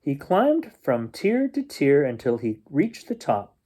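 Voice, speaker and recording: A man reading a children's picture book aloud as a bedtime storyteller, expressively and with drawn-out suspense. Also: a little high, wildly swooping pitch, mellow.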